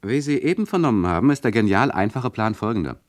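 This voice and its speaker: A deep-voiced actor as a male radio play narrator, mock-solemn and theatrical. His pitch swoops widely as he chummily addresses the listeners in a dry studio. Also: expressive, fast, no echo, close to an everyday voice.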